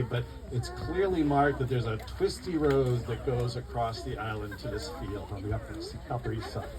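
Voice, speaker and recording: A man reading his picture book aloud at a live event, expressive and rhythmic in the rhymes, with informal asides to listeners. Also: on the high side. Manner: expressive, rhythmic storytelling of rhyming verse. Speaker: children's book author reading his own book. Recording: distant mic, noisy live room.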